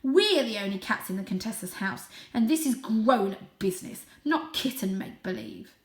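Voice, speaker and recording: A woman's voice: medium pitch, lively intonation, normal speed, close to an everyday voice. Lady doing a mean young bully tomcat from a storybook, snarly n sneering, roomy. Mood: scornful.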